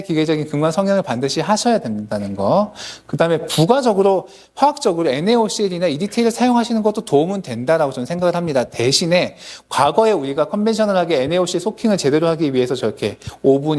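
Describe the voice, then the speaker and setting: Man giving a dentistry lecture, high voice. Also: darkish tone. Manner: conversational, explains cases to colleagues. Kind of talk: symposium lecture. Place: lecture hall at a symposium.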